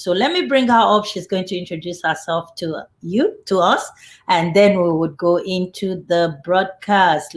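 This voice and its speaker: A woman hosting a live online talk show, chatty and upbeat, with fillers and small restarts. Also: on the low side. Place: home studio in Canada.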